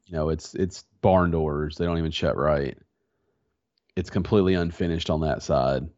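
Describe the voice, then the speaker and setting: Low-voiced stuffed-up guy on a podcast, laid back and slangy, kinda muffled lo-fi audio. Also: fairly even intonation, moderate pace, darkish tone. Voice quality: congested, stuffy nose. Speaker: podcast co-host. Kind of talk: casual chat. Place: small home office in a converted barn. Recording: dull, lo-fi sound.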